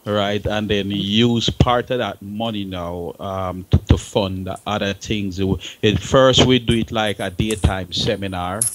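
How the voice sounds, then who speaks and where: Low-pitched man speaking haltingly in discussion. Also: steady tone, noisy background. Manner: halting, repeats words and restarts phrases. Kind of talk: talk-show discussion.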